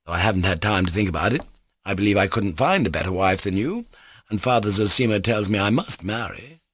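A man reading an audiobook, voicing a gentle, guileless young man in a mild, sincere, plain-spoken way. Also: lowish voice, a little fast, slight background noise, lightly acted. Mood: earnest.

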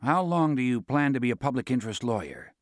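A man's voice: medium pitch, very animated, fast talker, dry recording, clean studio sound, close to an everyday voice. A man voicing a brash, greedy male lawyer who needles and swears bluntly in an audiobook. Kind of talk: audiobook dialogue reading.

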